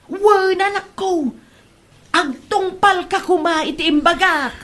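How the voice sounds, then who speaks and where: A woman's voice: extremely high pitch, slow pace, fairly bright tone, heavily acted. A woman playing a meddling, scheming Filipino village mother in a comedy drama, exclaiming and nagging. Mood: bossy. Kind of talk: Ilocano comedy radio drama acting.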